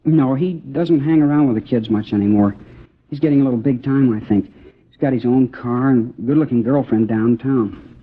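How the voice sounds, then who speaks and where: An actor playing a seventeen-year-old boy, talking in a casual, slangy, halting way in an old radio crime drama. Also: medium pitch, husky, natural voice. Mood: hesitant, matter-of-fact. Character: seventeen-year-old boy informant, hesitant but cooperative. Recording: dull old radio recording.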